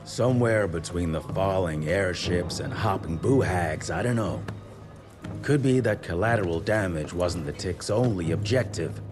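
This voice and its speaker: An actor playing a gruff, seasoned male hunter who talks bluntly and steadily. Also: low-pitched, expressive, normal speed. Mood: grave. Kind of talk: game cutscene acting. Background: music score.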